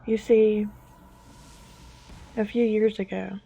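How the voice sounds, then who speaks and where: A woman narrating a scripted horror story in a slow, hushed, suspenseful manner with long deliberate pauses. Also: medium pitch, level tone, gravelly, husky. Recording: dull lo-fi, music bed behind. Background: background music.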